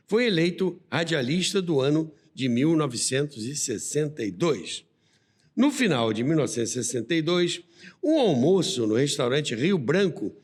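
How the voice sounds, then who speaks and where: A man with a Brazilian accent narrating a scripted documentary as the host of a radio history show. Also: fairly high pitch. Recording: long reverberant hall sound.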